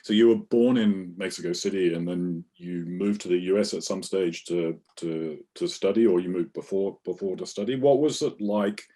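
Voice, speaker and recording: A man interviewing a guest, asking careful, measured questions. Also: low voice, warm tone. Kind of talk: interview conversation.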